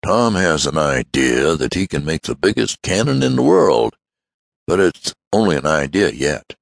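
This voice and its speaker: A man voicing an odd, eager older gentleman who talks in a fussy, exclamatory way. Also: very deep voice, big pitch swings, moderate pace, creaky, no room sound, full character voice. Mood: matter-of-fact.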